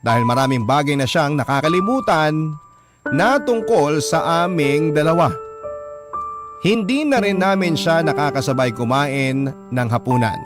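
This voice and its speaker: A man's voice: fairly high pitch, expressive, measured pace. A man with a Filipino accent narrating a scripted, dramatic first-person story written as a letter. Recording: music bed behind the voice.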